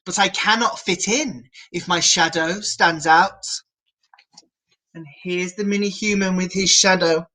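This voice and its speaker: A woman reading a picture book aloud to children as a storyteller, in an animated, encouraging and theatrical manner. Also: on the low side, unhurried.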